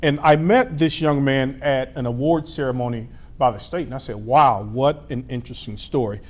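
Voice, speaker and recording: A middle-aged man hosting a local TV interview show, asking relaxed, friendly questions and filling pauses with "uh" and "um". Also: mid-range voice. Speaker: TV show host.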